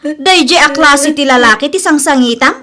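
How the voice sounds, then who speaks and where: Outspoken young Ilocano best friend played by a woman, high-pitched, exasperated, nagging, audio drama. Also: normal speed, a crowd in the background, natural voice. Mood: exasperated scolding. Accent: Filipino, Ilocano. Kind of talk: radio-style drama acting.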